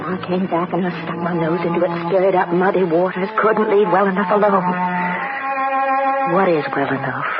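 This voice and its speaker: A woman's voice: on the low side, fairly even intonation, moderate pace, close to an everyday voice. An actress playing a troubled, inquisitive young woman in an old-time radio drama, earnest and dramatic in her delivery. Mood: troubled, bewildered. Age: young adult. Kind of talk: old-time radio drama acting, dialogue and first-person narration. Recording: dull old radio recording with loud music.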